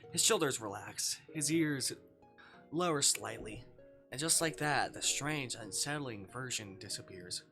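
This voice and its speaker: A man's voice: mid-range voice, animated, measured pace, music in the background. A man reading a visual novel aloud on a live stream, narrating dramatically and adding casual asides.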